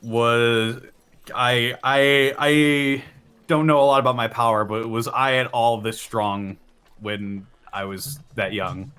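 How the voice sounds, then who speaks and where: A man's voice: medium pitch, steady tone, takes it slowly, sharp tone, smooth. A man playing a tabletop role-playing game, talking casually and somewhat hesitantly.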